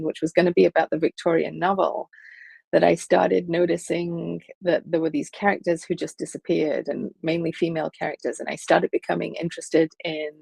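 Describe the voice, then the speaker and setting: A woman in her fifties, from Liverpool, answering interview questions thoughtfully with frequent pauses and fillers over a video call. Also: lowish voice, gravelly, a little mellow, slightly rough, no room sound. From England, Liverpool. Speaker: film studies professor, guest.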